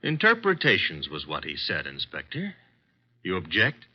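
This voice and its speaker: A wisecracking tough-guy detective's assistant from vintage radio drama. He is flippant and slangy, with a fairly low, animated voice on a dull old recording. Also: normal speed, slightly rough, exaggerated voice. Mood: cocky. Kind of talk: 1940s-50s radio drama acting.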